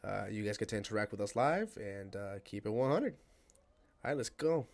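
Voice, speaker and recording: Low-voiced man hosting a podcast. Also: lively intonation, brisk. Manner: rambling with many fillers, then loud joking banter mixed with Spanish. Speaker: podcast host. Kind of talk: casual podcast chat.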